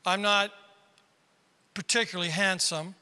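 A man from South Dakota preaching a sermon as pastor, with a squeaky tenor voice and emphatic delivery that climbs into sudden loud bursts. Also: fairly even intonation, a little slow, clean tone. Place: large church hall. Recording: reverberant hall.

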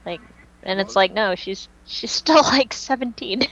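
A woman's voice: medium pitch, measured pace, highs cut off, a crowd in the background. A woman hosting a podcast, chatting casually in an informal, slangy way. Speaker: podcast host.

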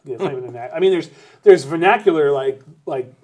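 A man, originally from Massachusetts and raised in California, co-hosting a podcast in quick, swearing, animated casual chat. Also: on the high side. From Lowell, Massachusetts, raised in California. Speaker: podcast co-host.

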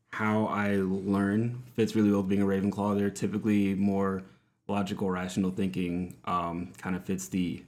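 A man in his late twenties from Georgia, joking and poking fun at himself in a casual chat with friends. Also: fairly low pitch. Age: 20s. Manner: joking, self-mocking. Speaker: podcast co-host.

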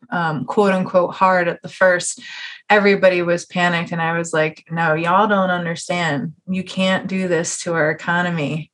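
A woman's voice: fairly low pitch, fairly bright tone. A woman hosting a casual conversational podcast interview, speaking in an opinionated, emphatic way with frequent chuckles. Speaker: podcast host interviewing a guest.

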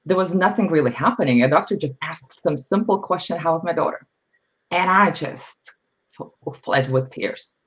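A woman's voice: deep voice, normal speed. Woman, middle-aged, podcast guest, candid, reflective, lo-fi sound. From Lithuania. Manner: candid, reflective, with frequent fillers. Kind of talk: personal storytelling. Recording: slightly dull lo-fi audio.

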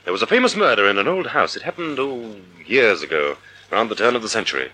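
An actor playing a suave, wry gentleman sleuth with a dramatic delivery in a vintage radio mystery. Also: lowish voice, big pitch swings, quick pace, breathy edge, full character voice, poor audio. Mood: intrigued. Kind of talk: 1940s radio acting. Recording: old radio broadcast recording.

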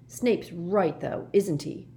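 A woman's voice: fairly low pitch, slow, dark tone, a little hiss or hum. A woman voicing a teasing, easily discouraged teenage schoolboy in an audiobook, with a wry manner.

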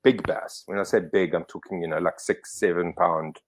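Middle-aged Zimbabwean-born man, lowish voice, rambling casual guest, heavy fillers and restarts. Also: fairly even intonation, normal speed. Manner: rambling, self-correcting, lots of fillers. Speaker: podcast guest. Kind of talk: casual storytelling interview.

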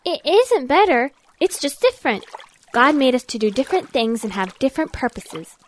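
Woman playing a sensible little girl duck, high-pitched, patient, friendly, audio drama. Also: sing-song, moderate pace, slight background noise. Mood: friendly, teasing. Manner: patient, gently explaining.

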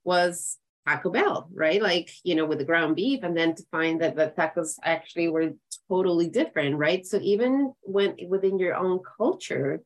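Encouraging woman podcast host, lowish voice. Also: moderate pace, rough recording. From Ecuador. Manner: encouraging, affirming. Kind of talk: conversational interviewing.

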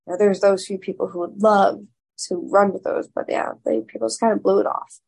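A woman guest with a raspy voice, speaking loudly and frankly in a candid interview. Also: mid-range voice, steady tone, brisk. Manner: loud, frank and open.

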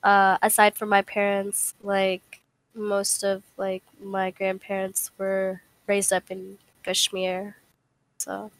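A young American woman in a casual chat, slangy and opinionated as she tells stories in an animated way. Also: medium pitch, slow pace, dry recording, poor audio. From United States. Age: young adult. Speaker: participant in a group call.

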